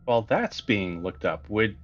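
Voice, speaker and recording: A man's voice: lowish voice, animated, a little slow. A man chatting casually as a player in a tabletop game, his audio slightly muffled. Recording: muffled audio over background music. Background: music.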